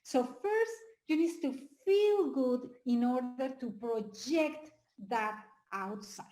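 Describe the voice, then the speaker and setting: A woman from Mexico with a Mexican Spanish accent, a life coach giving a lively live webinar talk to an audience, often asking them questions. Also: high-pitched, slow pace, echoey room, rough recording. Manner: energetic, asks the audience questions, nonnative phrasing.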